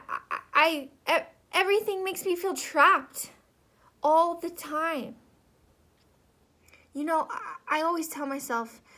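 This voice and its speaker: Woman doing a neurotic little guy ant, high voice, stammery and whiny, venting like a cartoon movie character. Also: bright, forceful tone. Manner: stammering, whiny, exasperated complaining. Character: neurotic, anxious male worker ant venting in therapy. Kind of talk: dramatic monologue from an animated film.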